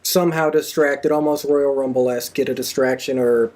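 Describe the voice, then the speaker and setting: A man's voice: medium pitch, fairly even intonation, moderate pace, darkish tone. A man hosting a casual panel chat, giving wrestling predictions. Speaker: host of a wrestling predictions show.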